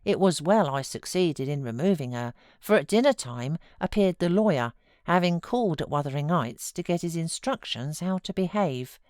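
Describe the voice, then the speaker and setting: A woman with a British accent and a slightly low voice narrates literary fiction. Her delivery is measured and expressive, and the sound is dry with no room echo. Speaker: audiobook narrator.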